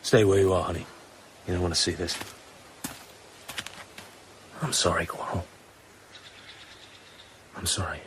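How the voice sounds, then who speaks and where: An actor playing a suave, cool-headed secret agent, commanding and protective, in a radio drama. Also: male voice, deep voice, fairly even intonation, unhurried, pauses often, a bit bright, a little hiss or hum. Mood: grave, sorrowful.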